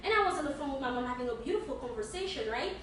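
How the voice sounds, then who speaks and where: Woman, high-pitched, quick and stammering, distant mic, echoey room. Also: expressive, fairly bright tone, slight background noise. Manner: fast talker, stammers and restarts. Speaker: podcast co-host. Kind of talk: casual chat.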